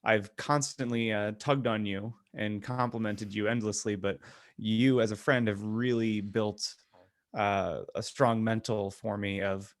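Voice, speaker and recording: A man co-hosting a podcast, giving opinions in casual, blunt and somewhat halting conversational speech. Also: on the low side, steady tone, dry recording. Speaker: podcast co-host.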